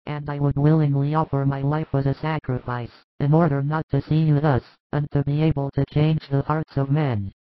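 A man's voice: a little high, level tone, moderate pace, few pauses, no echo, close to an everyday voice. A man voicing a worried, devoted apostle who speaks in an earnest, pleading way for an audiobook. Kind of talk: audiobook dialogue reading. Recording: dull lo-fi dry recording.

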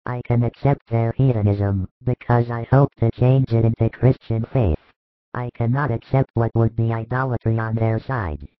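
A man voicing a calm, resolute male teacher who speaks in a measured, firm way. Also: mid-range voice, no echo, phone-quality sound, soft background music, natural voice. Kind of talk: audiobook reading.

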